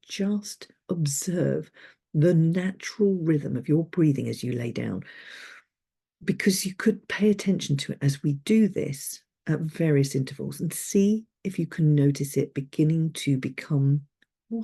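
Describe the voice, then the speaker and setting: A woman with a soft, breathy voice leads a guided sleep meditation as a coach, soothing, unhurried and gently instructive. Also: deep voice.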